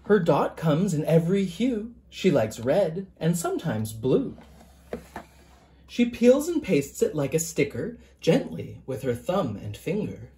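A teacher reading a children's picture book aloud in a gentle, deliberate, expressive sing-song way. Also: mid-range voice, a little hiss or hum.

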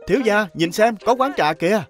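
An actor playing a young male servant in an animated drama, deferential, eager and given to whining. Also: medium pitch, brisk, soft background music, lightly acted. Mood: puzzled. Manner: deferential, whiny, exclamatory. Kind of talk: animated drama dub acting.

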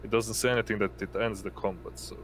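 A man narrating a tabletop roleplaying game as game master, in a halting way, searching for words. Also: fairly low pitch, steady tone, a little hiss or hum.

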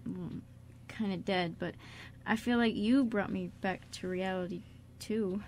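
A woman's voice: medium pitch, steady tone, measured pace, a little mellow, close to an everyday voice. A woman playing an anxious, tender man in an amateur radio drama, with hesitant, stammering speech. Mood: tender, grateful. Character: anxious, guilt-ridden, tender male friend.

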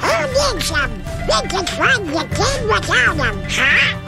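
A man's voice: very high voice, very animated, slow pace, gravelly, bright, forceful tone, faint music behind, exaggerated voice. An actor voicing a small, hot-headed, irritable male cartoon duck in a game, with a squawky, garbled quack. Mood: indignant. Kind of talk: video game cartoon character acting.

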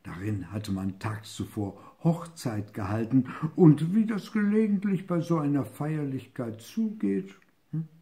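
An older man reading a fairy tale aloud expressively and deliberately, with dramatic pauses, as a storyteller. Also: fairly high pitch, animated, creaky, mellow. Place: book-lined living room, seated in an armchair.

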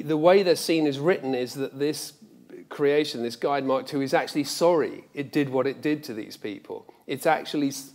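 A man's voice: a little high, a little mellow. A middle-aged man speaking reflectively and emphatically as a guest in an on-stage conversation. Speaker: guest, audio drama director. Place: large lecture theatre stage.

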